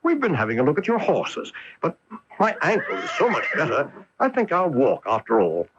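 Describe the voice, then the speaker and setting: A man playing a keen, confident, amused master detective in a theatrical radio mystery style, with a raspy voice. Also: a little high, wildly swooping pitch, moderate pace, background noise. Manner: theatrical, emphatic deductive delivery. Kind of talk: 1980s radio mystery acting. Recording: dull 1980s AM radio broadcast.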